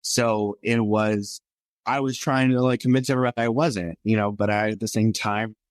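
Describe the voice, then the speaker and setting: A man's voice: steady tone, moderate pace, no echo, studio quality. Earnest young man telling his story. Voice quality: somewhat high voice for a man. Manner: rambling, filler-heavy, earnest. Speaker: podcast guest. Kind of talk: personal storytelling interview.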